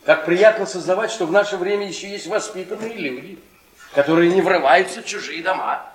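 Actor as high-voiced sarcastic husband, echoey stage. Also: male voice, a little fast, a crowd in the background, natural voice. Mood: tense, bitter. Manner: mocking, needling, repetitive. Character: bitter, sarcastic, downtrodden history professor husband. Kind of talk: Russian theatrical radio play acting. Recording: echoey stage, distant mic, audience noise.

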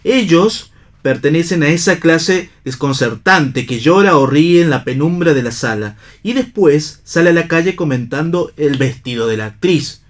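Man acting impassioned Argentine stage idealist, higher-pitched voice. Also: lively intonation, normal speed, close to an everyday voice. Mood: impassioned, oratorical. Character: impassioned, disillusioned male stage actor, bitter and idealistic. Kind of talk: radio theatre reading.

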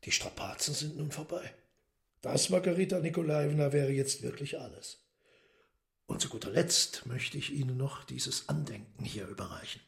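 An actor playing a composed, commanding Satan in a radio drama, grave and measured. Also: male voice, mid-range voice, vocal fry, lightly acted. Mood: grave, knowing.

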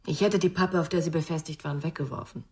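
A woman's voice: sits low, fairly even intonation, fast talker, close to an everyday voice. An actress playing a curious, candid girlfriend of an investigator in a radio crime drama, conversational and questioning. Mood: curious, probing.

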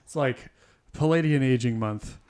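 A man's voice: mid-range voice, normal speed, a little mellow. A man in his twenties co-hosting a podcast, chatting casually, rambling and chuckling often. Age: 20s. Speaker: podcast co-host. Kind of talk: casual chat.

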